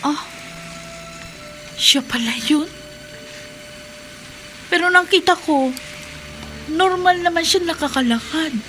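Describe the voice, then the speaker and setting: A principled young wife in a radio play. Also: female voice, very high-pitched, slow, pauses often, bright, forceful tone, soft background music, exaggerated voice. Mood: surprised. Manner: impassioned, pleading. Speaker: radio drama actress. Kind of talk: radio drama acting.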